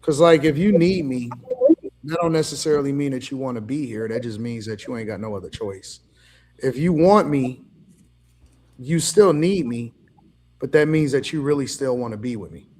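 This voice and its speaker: A man's voice: medium pitch, darkish tone, a little hiss or hum. A man hosting a casual group talk, explaining his view step by step. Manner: measured, explains point by point. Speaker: podcast host.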